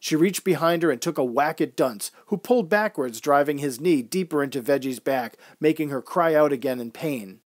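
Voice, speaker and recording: A man's voice: on the high side, normal speed, hardly any pauses, dry recording, clean studio sound. A man reading scripted first-person narration in the style of an old-time radio drama. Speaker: storyteller.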